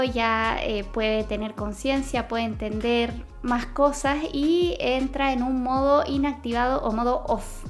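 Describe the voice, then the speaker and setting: A woman with a Chilean accent explaining brain science in a casual vlog, chatty and full of fillers and restarts. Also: high voice. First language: Spanish. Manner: chatty, many fillers and self-corrections. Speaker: science vlogger.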